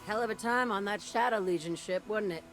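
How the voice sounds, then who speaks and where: An actress voicing a tough, warm-hearted fighter pilot in a video game, with a folksy, plain-spoken manner. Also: female voice, medium pitch, fairly quick, over music, natural voice. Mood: fond, chatty. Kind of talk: video game character dialogue.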